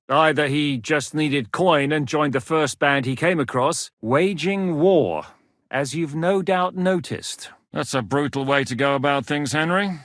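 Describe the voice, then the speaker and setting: A gruff, wary warlord commander. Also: male voice, on the high side, fairly bright tone, no room sound. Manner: blunt, weary, curses freely. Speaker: game character voice lines. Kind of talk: video game voice acting. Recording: dry studio voice recording.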